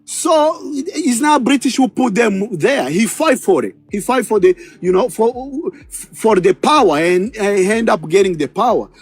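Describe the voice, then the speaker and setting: Very high-voiced halting man in his thirties. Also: lively intonation, breathy edge, no room sound. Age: 30s. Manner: halting and defensive, many restarts. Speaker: panel participant. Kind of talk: heated online political debate.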